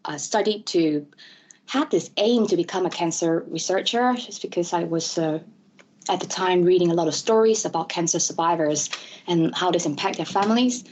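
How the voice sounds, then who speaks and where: A woman from Malaysia in her thirties, a guest in an interview, who talks in a halting way with many fillers and restarts. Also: fairly low pitch, a little mellow, slight background noise. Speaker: interview guest, ADHD advocate. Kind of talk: conversational interview. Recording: dull lo-fi audio.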